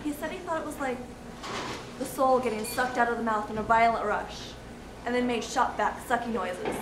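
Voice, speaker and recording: A woman's voice: fairly high pitch, normal speed. Woman reciting from afar, echoey room. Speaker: reciter of a literary piece. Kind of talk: recitation of poetry. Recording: distant mic, echoey room, clear noise.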